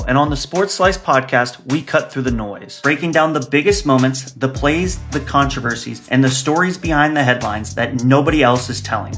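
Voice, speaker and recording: A man reads a sports podcast promo in a dramatic, energetic way. Faint music plays beneath him. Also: mid-range voice. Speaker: podcast host.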